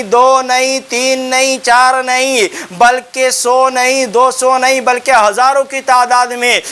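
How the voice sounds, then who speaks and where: A man's voice: very high voice, pushed and bright, clear, resonant tone. A man preaching an Islamic sermon, admonishing listeners and telling stories with rhetorical questions and emphatic repetition.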